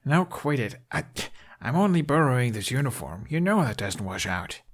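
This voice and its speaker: A man voicing a playful young man with an excitable, exclaiming manner, in a storybook narration. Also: mid-range voice, normal speed, pitched above the performer's own voice. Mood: amused, flustered. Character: playful, exasperated, affectionate young man, dragon's friend.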